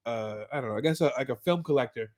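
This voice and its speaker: A man's voice: mid-range voice, fast, a little mellow. A man co-hosting a fan podcast, casually recapping and commenting, often repeating words as he searches for phrasing. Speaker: co-host of a fan rewatch podcast.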